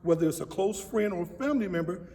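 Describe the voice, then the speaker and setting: Male interviewee, high-pitched, earnest storyteller, recorded distantly in a reverberant hall. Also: fast talker, slight background noise. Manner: earnest, animated storytelling with acted-out quotes. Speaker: stage play director. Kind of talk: personal anecdote in an interview. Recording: echoey hall, distant microphone.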